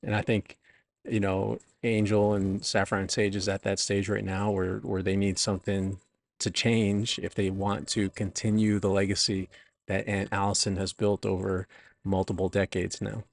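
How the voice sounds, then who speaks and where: A man being interviewed as an author and business coach, talking conversationally in a halting, reflective, explanatory way. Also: on the low side, flat delivery, vocal fry, dry recording.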